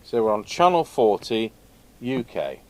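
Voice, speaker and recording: Low-voiced man muttering at a workbench. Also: sing-song, a little slow, poor audio. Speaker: radio repair vlogger. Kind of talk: casual hands-on bench commentary. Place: indoors at an electronics workbench. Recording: clear background noise from a workshop. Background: background noise.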